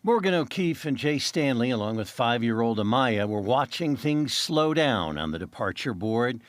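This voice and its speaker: A man's voice: medium pitch, animated, normal speed, no room sound, clean studio sound. A man with an American accent delivering a scripted television news report as a field reporter.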